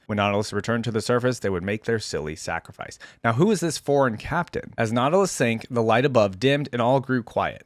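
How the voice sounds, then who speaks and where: A man's voice: on the low side, no room sound. A man hosting a reaction video, mixing casual, informal commentary with lore read aloud. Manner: informal, conversational asides. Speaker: YouTube reaction host.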